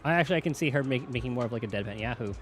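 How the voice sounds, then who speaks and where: A man's voice: mid-range voice, expressive, very fast, faint music behind. A man streaming a video game, giving casual commentary and reading dialogue aloud.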